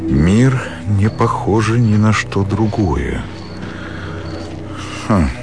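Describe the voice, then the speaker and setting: An actor playing a respectable, intelligent country doctor musing to himself in a radio drama, with reflective pauses. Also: male voice, fairly low pitch, very animated, music in the background, heavily acted. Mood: hushed awe. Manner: reflective interior monologue with drawn-out pauses.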